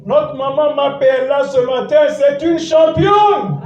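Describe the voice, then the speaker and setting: A man's voice: extremely high pitch, unhurried, a little mellow, a faint crowd. A man preaching a sermon as pastor, exhorting and calling for responses from the congregation. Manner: exhorting, repeats phrases, calls and responses with congregation. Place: church. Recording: echoey church hall, distant mic, dull sound.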